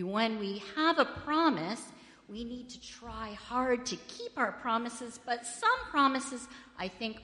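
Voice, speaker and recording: A woman leading a children's story at church, asking the children friendly questions in a loud voice. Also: medium pitch. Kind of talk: children's sermon. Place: church sanctuary. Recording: echoey hall with long reverb.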